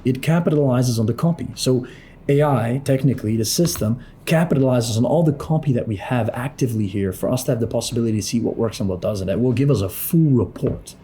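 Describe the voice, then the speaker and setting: A man talking through a screen-share tutorial as a business coach in a lowered late-night voice, blunt and pushy, swearing freely. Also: dark tone, slight background noise.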